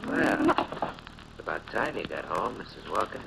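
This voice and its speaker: A man's voice: lowish voice, wildly swooping pitch, measured pace, vocal fry, heavily acted. An actor playing a menacing tough-guy killer who threatens in slangy talk, in 1940s radio crime drama style. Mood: cold menace. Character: menacing male gunman, crooked mechanic and killer. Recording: very noisy 1940s radio recording.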